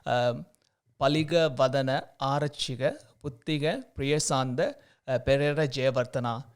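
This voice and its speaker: A man from Tamil Nadu speaks English with a Tamil Indian accent and a smooth, resonant tone. He talks in a relaxed, hesitant way, often pausing and restarting as he explains. The pitch sits in a middle range for a man. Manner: hesitant, many fillers and restarts. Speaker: guest, religious brother in training. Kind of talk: casual interview chat.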